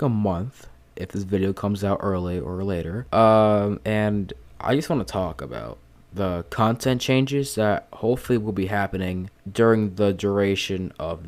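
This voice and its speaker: A man with a lisp and a stutter, talking slowly and calmly through a casual, swear-filled commentary recorded at home. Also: lowish voice. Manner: deliberately slow and calm delivery. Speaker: YouTube commentator.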